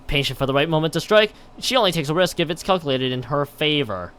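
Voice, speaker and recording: A man with a clear, smooth, fairly high voice reads a game story aloud on a livestream. His delivery swings widely in pitch, and he trips over the text and drops in casual exclamations of his own. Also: animated, fast talker, a bit bright. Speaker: game streamer. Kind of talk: livestream read-aloud of a visual novel with casual reactions.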